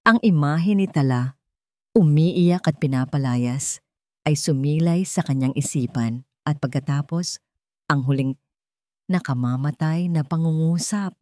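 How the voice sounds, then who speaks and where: A woman's voice: sits low, a little slow, darkish tone, no room sound, studio quality. A woman narrating a romantic melodrama as a scripted, dramatic story.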